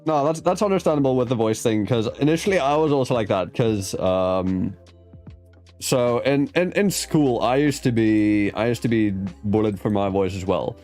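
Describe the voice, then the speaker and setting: A man's voice: mid-range voice, lively intonation, normal speed. A man from South Africa with a South African accent, whose first language is Afrikaans, hosting a casual interview and chatting in a rambling way with many restarts. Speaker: host. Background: soft background music.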